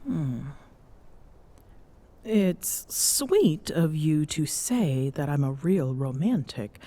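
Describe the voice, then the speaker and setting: A woman voicing a tall, proud Amazonian queen who is smitten and flustered, speaking haltingly in regal phrases for an intimate ASMR roleplay. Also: very deep voice, dark tone, heavily acted.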